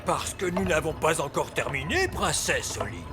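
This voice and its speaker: A man's voice: on the high side, normal speed. A man voicing a wise old male elf miller in a children's cartoon, explaining things expressively like a patient teacher. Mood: instructive. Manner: didactic, exclamatory, expressive. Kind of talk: children's cartoon dub acting. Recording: music behind the voice.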